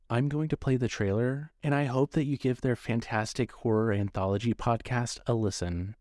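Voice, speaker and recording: A man's voice: mid-range voice, darkish tone, no echo, clean studio sound. A man reading scripted narration as the host and storyteller of a fiction podcast. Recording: faint background noise and ambience.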